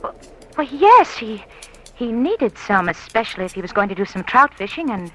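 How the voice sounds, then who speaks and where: A breathless, secretive young woman in an old radio drama, stammering through her words. Her voice sits fairly high and sounds bright and sharp, with faint hiss behind it. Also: big pitch swings, moderate pace. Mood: defensive. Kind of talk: 1940s radio acting.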